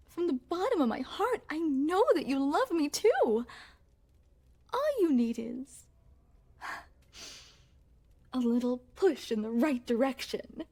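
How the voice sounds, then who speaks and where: A woman's voice: high-pitched, warm tone, breathy edge, heavily acted. A woman playing a possessive, secretly shapeshifting queen in an ASMR roleplay, speaking formally and regally with doting menace. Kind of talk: ASMR roleplay, scripted character acting addressed to the listener.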